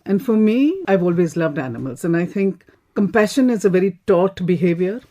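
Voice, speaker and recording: A woman speaking as a panel guest in a firm, deliberate, earnest way. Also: lowish voice, animated, dark tone. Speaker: panel guest, animal rights activist.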